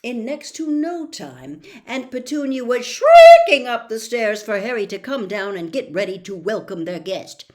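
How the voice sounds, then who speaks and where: A woman narrating a story as an audiobook reader, expressive and dramatic, with emphatic phrasing. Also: on the high side, measured pace, hardly any pauses.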